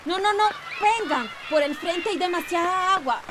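A woman's voice: very high voice, animated, moderate pace. A woman acting an earnest, impatient schoolteacher in a radio drama. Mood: alarmed, urgent. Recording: faint background noise.

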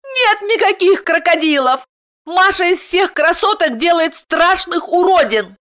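Shrill, hysterical, sharp-tongued wife. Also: female voice, animated, measured pace, heavily acted. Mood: indignant. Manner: shrieking, whiny, hissing.

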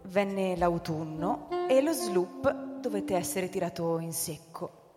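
A woman narrating a scripted literary story for a radio drama in a measured storytelling manner. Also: on the low side. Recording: long hall reverb, music behind.

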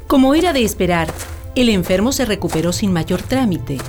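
A woman's voice: mid-range voice, lively intonation, normal speed, a little mellow, soft background music. A woman narrating a radio drama in a scripted storytelling style.

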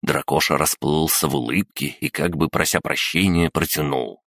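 A man's voice: extremely low pitch, moderate pace, a bit bright, no room sound, studio quality. A man reading an audiobook aloud, narrating the story and giving the characters their lines himself. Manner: reads all characters himself.

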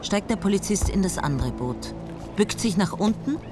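A woman with an Austrian accent narrating a radio drama in first person and speaking as a brisk, impatient intelligence chief. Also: mid-range voice, animated, over loud music.

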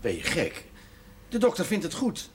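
An actor playing a brisk, encouraging small-town man in a television drama. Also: fairly high pitch, wildly swooping pitch, slight background noise. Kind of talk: naturalistic television drama acting.

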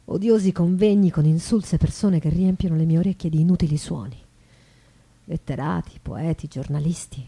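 An actress playing an embittered, elegant, proudly ironic bourgeois wife of the 19th century in a confessional stage monologue that shifts between hushed and declamatory. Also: female voice, low voice, moderate pace, slightly rough. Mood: bitter, scornful. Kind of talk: theatrical monologue with live music. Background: guitar music.

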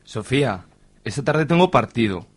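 A man's voice: mid-range voice, expressive, normal speed, slightly rough. A man playing a grumpy stressed family man. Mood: grumbling. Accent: Spanish (Spain). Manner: grumbling, impatient. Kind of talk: amateur radio drama acting.

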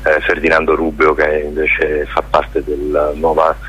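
A man speaking as a guest in a radio interview, talking in a halting way with many fillers and restarts. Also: rumbling low, monotone, fairly bright tone, husky. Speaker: festival director guest. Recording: dull lo-fi radio sound.

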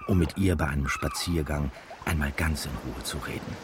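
An actor playing a wry, knowing storyteller who narrates a radio drama in an ironic, conspiratorial way. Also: male voice, a bass voice, moderate pace, background noise. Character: wry, knowing local storyteller addressing the listener. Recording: music behind the voice.

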